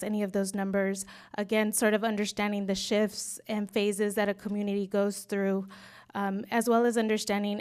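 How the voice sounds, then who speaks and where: Young woman on city council, smooth even voice, measured academic phrasing with "sort of". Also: medium pitch. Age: young adult. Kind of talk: public meeting remarks.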